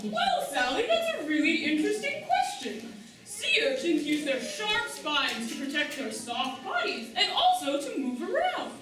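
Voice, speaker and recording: A woman's voice: very high-pitched, wildly swooping pitch, a little slow, background noise, exaggerated voice. A woman playing an upbeat manta ray schoolteacher in a children's puppet show, enthusiastic and encouraging as she projects to young listeners. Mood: cheerful, encouraging. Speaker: puppet show teacher and presenter. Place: large echoey room with live audience. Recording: echoey hall, distant, audience crowd behind.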